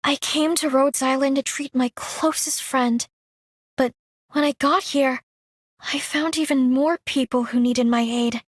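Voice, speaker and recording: A woman's voice: high voice, steady tone, unhurried, no echo, clean studio sound. A woman voicing a kind, earnest young herbal healer in a video game. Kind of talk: scripted game character voice line.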